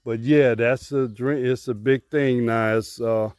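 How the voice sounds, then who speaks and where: A man from Chicago hosting a casual on-the-street interview, friendly and affirming, chiming in with quick agreement. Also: medium pitch, fairly even intonation, a little mellow, clean tone, no room sound, studio quality. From Chicago, USA. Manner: friendly, affirming, lots of "yeah" and "mm-hmm". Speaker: vlogger host.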